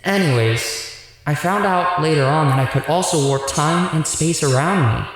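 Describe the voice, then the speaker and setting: Heavy echo and long reverb surround this earnest hero played by a man, whose voice sits a little high. He is a weary supernatural fighter in a radio drama, explaining himself seriously. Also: moderate pace, fairly bright tone. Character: earnest time-warping husband, weary demon fighter. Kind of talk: audio drama acting. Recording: very echoey, long hall reverb.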